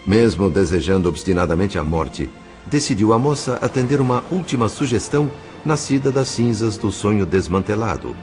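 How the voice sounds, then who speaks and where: Deep-voiced Brazilian male narrator, expressive and solemn. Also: normal speed, soft background music. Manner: solemn, literary phrasing. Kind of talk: dramatic scripted audiobook narration.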